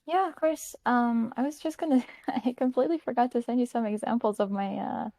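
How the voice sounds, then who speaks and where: A woman's voice: fairly high pitch, fairly quick, dark tone, no room sound. A woman from Croatia, a guest artist answering questions in an easygoing, chatty way. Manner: easygoing, chatty, light laughs. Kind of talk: casual interview answers.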